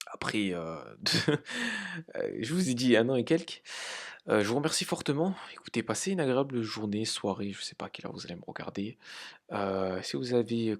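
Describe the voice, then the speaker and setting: A man from France hosting a crypto trading channel, talking casually with many hesitations and light laughs. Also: on the low side. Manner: halting, many fillers, informal swearing. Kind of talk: casual market analysis.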